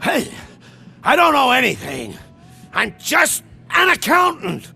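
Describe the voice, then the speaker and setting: An actor playing a frightened, cowardly accountant in a video game, nervous, pleading and excitable. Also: male voice, extremely high pitch, takes it slowly, creaky, husky, raised voice, soft background music, heavily acted. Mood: panicked, pleading. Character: cowardly, frightened male accountant for a criminal gang.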